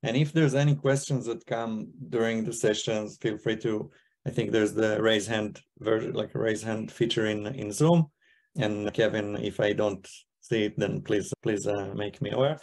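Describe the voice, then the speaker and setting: A man's voice: on the low side, steady tone, normal speed, a little mellow. Guy in his 30s doing a zoom presentation, casual, lots of restarts, laptop mic. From Israel and China. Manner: casual, thinking aloud with frequent restarts. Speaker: guest speaker, investor. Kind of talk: webinar presentation. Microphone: computer mic on video call.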